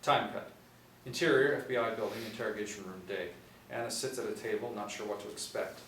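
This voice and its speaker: Lowish-voiced man reading script directions, echoey room. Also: steady tone, a little fast, a bit bright. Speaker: narrator reading stage directions. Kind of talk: scripted narration at a table read. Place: small meeting room, seated in a row. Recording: echoey room, distant mic, faint noise.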